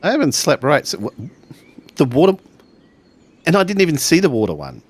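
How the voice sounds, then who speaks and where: A man's voice: on the high side, wildly swooping pitch, moderate pace, slightly rough. A man talking casually and improvising in character as a player in a tabletop roleplaying game.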